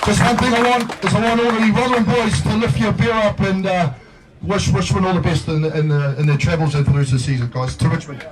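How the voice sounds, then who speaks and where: A man with a New Zealand accent, a rugby coach giving a humble, halting post-match speech. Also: high-pitched, very noisy. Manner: humble, halting, with many fillers. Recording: distant mic in loud crowded room.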